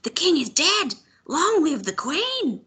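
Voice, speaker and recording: A woman voicing a frail, defiant old village crone in a storybook, chanting loudly with effort. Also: sits high, big pitch swings, takes it slowly, voice pushed up, heavily acted. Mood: defiant shouting. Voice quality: scratchy, breaking. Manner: loud, straining proclamation.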